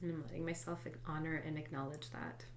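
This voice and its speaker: A woman hosting a guided tapping session, congested from a head cold, speaking softly and reflectively and sometimes dropping to a whisper. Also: low voice, level tone, fast talker, a little hiss or hum. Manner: soft, reflective, drifts into quiet whispered tapping phrases. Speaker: host and EFT tapping coach.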